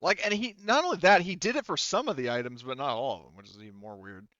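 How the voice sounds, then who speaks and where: A man's voice: on the high side, quick pace, bright, forceful tone, no echo, muffled recording. A man talking casually as game master of a tabletop game, swearing freely.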